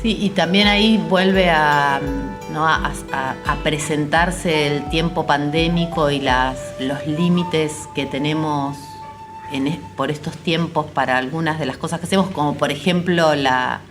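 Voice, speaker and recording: An Argentine woman talking reflectively and conversationally about a creative project, often halting as she searches for words. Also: low-pitched. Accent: Argentine Spanish. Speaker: theater artist and educator. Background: music behind the voice.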